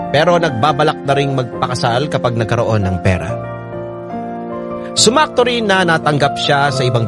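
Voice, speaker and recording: A Filipino man narrating a letter for a radio drama in a dramatic, scripted storytelling style. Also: mid-range voice. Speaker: narrator reading a listener's letter. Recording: loud music under voice.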